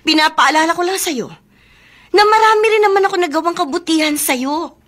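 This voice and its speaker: High-voiced lovelorn young woman. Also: a little fast, a bit bright. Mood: hurt, reproachful. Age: young adult. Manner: pleading, emotionally intense delivery. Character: lovelorn young woman secretly in love with her best friend. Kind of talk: Filipino radio romance drama acting.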